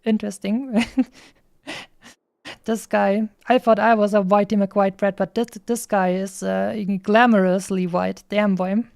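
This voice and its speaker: Mellow-voiced woman chatting on stream. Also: mid-range voice, steady tone, unhurried, creaky, no echo. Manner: rambling, playful asides. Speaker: game streamer. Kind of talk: casual livestream chat.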